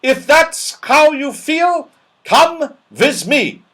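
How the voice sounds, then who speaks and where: A man voicing a cross, fierce old lady schoolmistress in a children's storybook, loud, snapping and commanding. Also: very high voice, lively intonation, extremely slow, pitched above the performer's own voice, exaggerated voice. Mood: menacing, angry.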